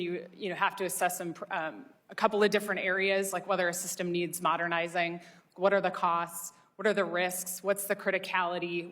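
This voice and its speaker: A woman giving unscripted formal testimony as an expert witness, explaining in a hesitant, measured way. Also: lowish voice, fairly bright tone. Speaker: expert witness from an oversight body.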